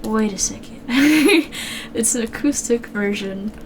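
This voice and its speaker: A woman live-streaming while she draws. She talks casually with lots of fillers and restarts, and she sounds low on energy with a slightly worn throat. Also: slow, a little hiss or hum. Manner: rambling, chatty, many fillers and restarts.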